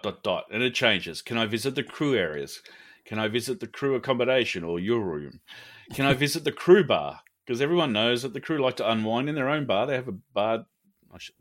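A man talking casually on a podcast as a regular contributor, earnest and halting, often repeating words. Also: mid-range voice, fairly bright tone. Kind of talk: casual chat.

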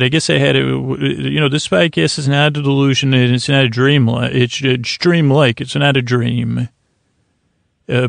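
A man hosting a bedtime podcast, rambling in a halting, meandering, self-deprecating monologue meant to lull listeners to sleep. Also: mid-range voice, gravelly, dry recording, clean studio sound. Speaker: podcast host.

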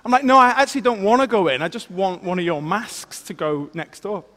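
A man, a former vicar, giving a sermon that opens with a self-deprecating personal story told in an animated way. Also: high-pitched, fairly quick, long reverb tail.

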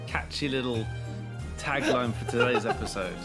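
A man's voice: mid-range voice, moderate pace, loud music behind the voice. A man co-hosting a podcast in casual chat, mock-enthusiastic and sarcastic, coming down with a cold and coughing at times. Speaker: podcast co-host.

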